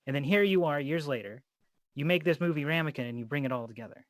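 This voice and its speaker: A man's voice: fairly high pitch, animated, fast, no echo. A man interviewing a guest in an informal, meandering way, chatting and telling rambling anecdotes. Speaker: interviewer, host. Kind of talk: casual chat, rambling anecdotes.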